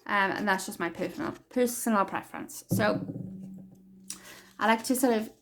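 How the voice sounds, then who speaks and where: A woman's voice: medium pitch, moderate pace, slight background noise, low-quality recording. Chatty Welsh woman teaching crafts. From Wales. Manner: chatty, rambling, thinks aloud while working. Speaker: craft tutorial host. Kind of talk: casual craft tutorial.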